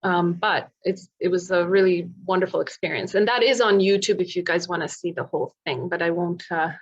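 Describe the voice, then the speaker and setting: A woman's voice: fairly low pitch, a little fast, breathy edge, dull audio. A woman from Poland giving an informal online presentation and answering questions, talking in a chatty, explanatory way as a singer and vocal coach. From born in Poland. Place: online video meeting.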